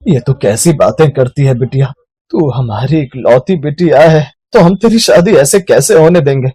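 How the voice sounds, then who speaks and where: An actor playing an earnest village farmer and father in a cartoon story, with a husky voice. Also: mid-range voice, moderate pace, dark tone, no echo, close to an everyday voice. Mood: worried. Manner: lamenting, earnest. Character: anxious male village farmer, a worried father.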